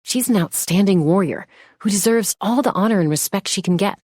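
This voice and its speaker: A woman voicing a confident young female gunslinger and peacemaker in a video game, with a cool, measured, self-assured delivery. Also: mid-range voice, a little mellow, no echo, clean studio sound, close to an everyday voice. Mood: reflective, candid. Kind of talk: scripted game character voice lines.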